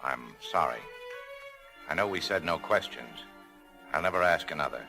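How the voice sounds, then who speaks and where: Jaded saloon owner, terse, low, expressive pitch, bitter, 1940s radio drama. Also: male voice, moderate pace, vocal fry, full character voice. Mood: tender, wry. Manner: terse, world-weary. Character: bitter, heartbroken, hard-drinking American saloon owner. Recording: old radio broadcast with music behind.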